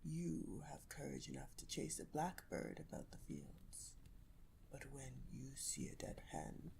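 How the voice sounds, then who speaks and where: A woman voicing a stern, mocking farmer who chides his servant, in a lowered, gravelly whisper. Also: animated, a little slow, a little mellow, full character voice. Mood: chiding. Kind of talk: storybook reading in ASMR whisper.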